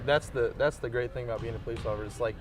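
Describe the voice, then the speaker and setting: A police officer and assistant basketball coach, answering interview questions in a rambling way, full of "you know.". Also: male voice, medium pitch, steady tone, very fast, music in the background. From Indiana, USA. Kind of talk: casual interview. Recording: distant mic, noisy outdoor surroundings.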